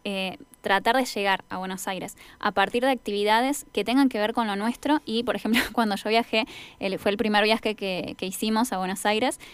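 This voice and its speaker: A young woman with an Argentine accent chats as a radio co-host, rambling and rephrasing as she goes. Her voice is smooth and clear, recorded close and dry. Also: medium pitch, moderate pace, no room sound. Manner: rambling, self-correcting. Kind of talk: casual radio interview chat.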